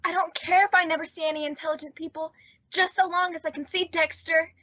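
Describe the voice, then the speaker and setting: High, bright, girlish radio teenage daughter, played by a woman. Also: little pitch movement, fast. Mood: pleading. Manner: girlish, earnest, pleading. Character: sweet, naive, devoted teenage daughter. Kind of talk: old-time radio sitcom acting. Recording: dull, lo-fi audio.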